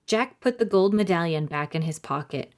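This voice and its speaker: Animated woman storytime reader, slightly low voice. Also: normal speed. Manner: expressive, clear storytelling for children. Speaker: library storytime host and reader. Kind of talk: children's storybook narration and friendly address to listeners.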